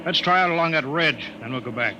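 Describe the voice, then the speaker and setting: An actor playing a gruff, bossy, hot-headed colonial bureau chief in a 1950s radio drama, blustering and impatient. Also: male voice, fairly high pitch, expressive, fast, highs cut off, close to an everyday voice. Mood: commanding, impatient. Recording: noisy old radio recording.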